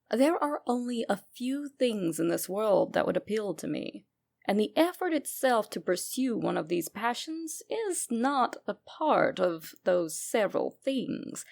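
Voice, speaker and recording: A woman narrating a first-person horror story in an expressive, sardonic and emphatic way. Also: medium pitch, a little slow, creaky. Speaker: creepypasta narrator.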